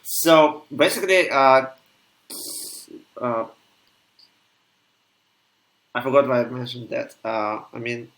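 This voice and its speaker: Male host, mid-range pitch, hesitant and searching, interviewing over a video call. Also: unhurried, fairly bright tone, poor audio. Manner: hesitant, loses track of questions. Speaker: talk show host. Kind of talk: casual interview questions.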